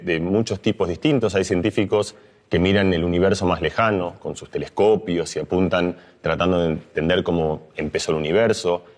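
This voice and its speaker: A man's voice: sits low, darkish tone. A middle-aged man from Argentina, speaking as a neuroscientist in a reflective explanatory talk, with fillers and restarts.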